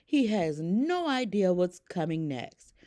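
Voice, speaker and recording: A woman voicing excitable, scheming male plush carnival toys in a storybook, with a boastful, theatrical delivery. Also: on the low side, slow pace. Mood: gleeful plotting.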